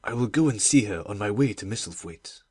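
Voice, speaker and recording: A brooding middle-aged gentleman, heavy with old grief, as a woman reader puts him on, his voice dropped low and slightly breathy. He speaks slowly and pensively, as if thinking aloud. It is a storybook reading, and the voice sounds a little set back from the mic. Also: lively intonation, no room sound, studio quality, exaggerated voice. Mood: hopeful. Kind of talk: audiobook dramatic reading.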